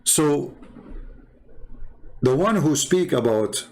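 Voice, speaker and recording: A man speaking English as a second language with a non-native accent, giving improvised commentary as a host, haltingly and repeating key words for emphasis. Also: a little high, animated, a little mellow. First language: not English. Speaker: host and religious debater. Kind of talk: improvised commentary over visuals.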